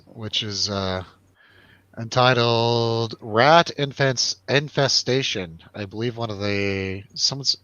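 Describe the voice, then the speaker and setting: A man's voice: mid-range voice, bright, forceful tone, dry recording, rough recording. A man chatting casually and jokily as host of a film review show, in informal, slangy talk. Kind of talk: casual chat, film review over drinks.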